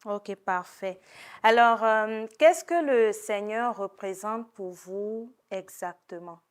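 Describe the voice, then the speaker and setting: Slow-paced woman hosting an interview. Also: medium pitch. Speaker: TV show host.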